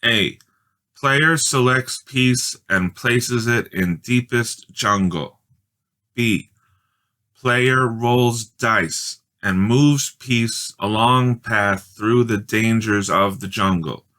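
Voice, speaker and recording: Man voicing a bossy young girl, pitched up. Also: drawn-out words, sharp tone. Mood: bossy, instructive. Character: bossy, determined young girl, older sister.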